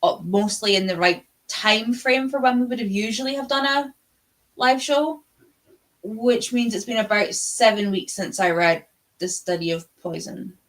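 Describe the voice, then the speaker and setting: A woman's voice: medium pitch, normal speed. A woman co-hosting a book readalong live stream, chatting casually and rambling with frequent asides. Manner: easily sidetracked, chatty asides. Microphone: live-stream video call.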